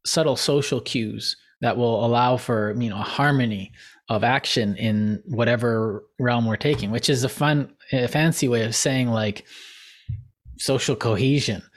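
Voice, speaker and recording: A man in the middle of his own range, co-hosting a relaxed podcast conversation. He wanders through long, looping thoughts, reaching for fancy words and then poking fun at them. His tone is loose and self-mocking. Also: medium pitch. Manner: rambling, digressive, laughs at himself. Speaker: podcast co-host. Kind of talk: casual conversational chat.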